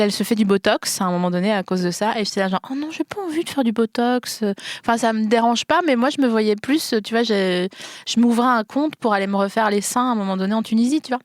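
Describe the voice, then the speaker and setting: A woman in her thirties hosting a casual podcast, an animated storyteller who laughs often. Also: medium pitch, dry recording, studio quality. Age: 30s. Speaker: podcast host.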